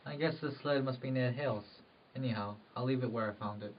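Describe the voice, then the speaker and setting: A man playing an anguished, impulsive rural husband in love, fervent and halting, in an amateur drama. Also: mid-range voice, slight background noise, close to an everyday voice. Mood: troubled longing. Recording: dull, distant microphone.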